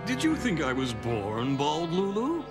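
Weary, slow-talking cartoon dad. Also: male voice, on the high side, wildly swooping pitch, exaggerated voice. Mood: wistful. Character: bald, weary, flustered middle-aged cartoon dad. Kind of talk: children's cartoon voice acting. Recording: music behind the voice.

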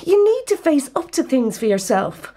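High-pitched, exasperated Irish girlfriend. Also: very animated, normal speed, mellow. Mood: exasperated pleading. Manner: scolding, emphatic. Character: exasperated, nagging long-suffering girlfriend. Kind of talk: naturalistic radio drama acting.

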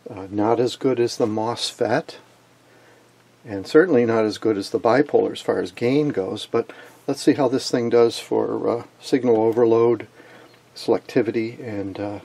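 A man presenting an unscripted technical demonstration in a halting, matter-of-fact way. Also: mid-range voice, vocal fry, dark tone, husky. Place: indoors at an electronics workbench.

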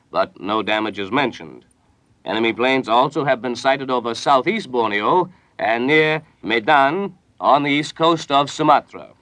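A man's voice: mid-range voice, a bit bright, dry recording. American 1940s newsman in a dry studio. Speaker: radio news anchor. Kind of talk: 1940s radio news reading. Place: radio newsroom studio.

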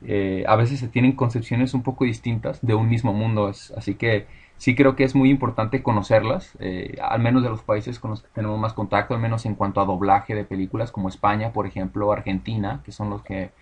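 Thoughtful Mexican man, halting speech. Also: lowish voice, steady tone, a little mellow, breathy edge. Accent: Mexican Spanish. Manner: hesitant, restarts words, thoughtful. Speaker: interviewee. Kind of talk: casual conversation.

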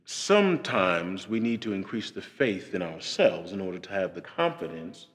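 An actor playing a solemn, measured church pastor in a comedy film, gently stern with a deadpan manner. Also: male voice, low voice. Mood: consoling. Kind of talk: scripted comedy film acting.

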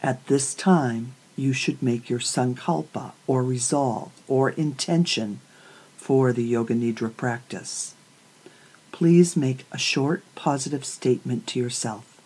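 A woman leading a guided meditation as a yoga nidra teacher, speaking calmly and soothingly, with deliberate pauses between short phrases. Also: rumbling low, husky, poor audio. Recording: voice over prominent meditation music.

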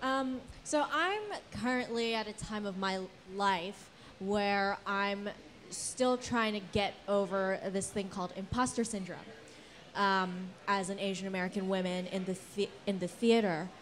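Casting: young woman, medium pitch, earnest rambling storyteller, casual panel-discussion delivery. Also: steady tone, unhurried, fairly bright tone, a little hiss or hum. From Philippines, Singapore and Guam. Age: young adult. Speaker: panelist, theater actor. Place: indoor event space with brick wall. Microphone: handheld event microphone.

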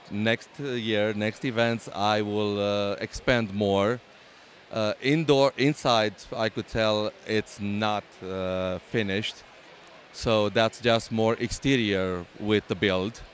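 A man with a Romanian accent speaks slowly and haltingly in a lowish voice, pausing often to find his words. He is interviewed inside a large convention hall with faint crowd noise behind him. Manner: hesitant, many fillers and restarts. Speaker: LEGO builder and exhibitor. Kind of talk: casual interview.

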